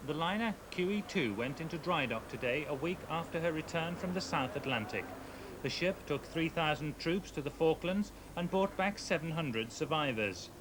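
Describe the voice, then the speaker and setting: A man's voice: fairly high pitch, lively intonation, moderate pace. A man reading a scripted news report as a reporter. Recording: clearly noisy old TV broadcast tape.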